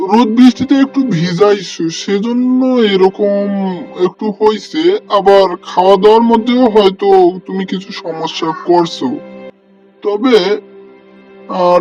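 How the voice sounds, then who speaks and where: Guy doing a fussy village uncle, high-ish voice, muffled lo-fi audio with faint music. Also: darkish tone. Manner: grumbly, fatherly scolding. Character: kindly middle-aged village uncle, grumbling but caring. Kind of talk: cartoon dialogue.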